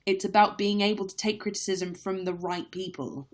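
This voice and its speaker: A woman's voice: mid-range voice, steady tone, moderate pace. A young woman who acts on stage, chatting candidly in a live-stream Q&A with lots of fillers. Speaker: stage actress livestreaming a Q&A. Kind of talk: casual live-stream chat.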